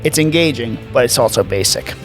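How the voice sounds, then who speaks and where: A man's voice: medium pitch, animated, a little fast, over music, poor audio. A man in his twenties co-hosting a casual movie review podcast, talking in a laid-back way. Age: 20s. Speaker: podcast co-host.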